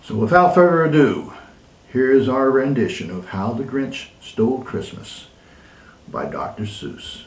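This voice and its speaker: A man's voice: medium pitch, lively intonation, measured pace. A man giving a dramatic storybook reading with theatrical, exaggerated emphasis. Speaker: co-host and story reader. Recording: roomy echo, distant mic.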